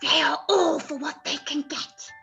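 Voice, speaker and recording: Shrill, fretful mother. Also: fairly even intonation, unhurried, vocal fry, pushed and bright, faint music behind, heavily acted. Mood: tearful grievance. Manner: melodramatic, complaining, exclaiming. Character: fretful, self-pitying, theatrical middle-aged mother. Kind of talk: theatrical period radio play.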